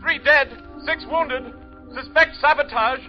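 An actor playing an earnest, alarmed navy lieutenant in an old radio drama. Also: male voice, extremely high pitch, steady tone, measured pace, faint music behind. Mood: urgent. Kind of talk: 1930s-40s radio drama acting. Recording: muffled old radio recording.

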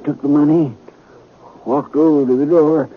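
An actor playing a badly wounded man in a 1950s Western radio drama, speaking in halting, air-filled breaths with bewildered grievance. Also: on the high side, steady tone, narrow, tinny audio, natural voice. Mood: pained, halting. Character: wounded frontier robbery victim, bewildered and aggrieved, gasping. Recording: thin, noisy 1950s radio recording.

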